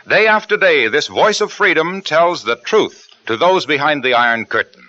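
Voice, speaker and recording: A man reading radio commercials and public service notices in a brisk, emphatic 1950s style. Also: fairly high pitch. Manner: brisk, lively, emphatic salesman delivery. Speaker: commercial announcer. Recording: muffled old radio broadcast.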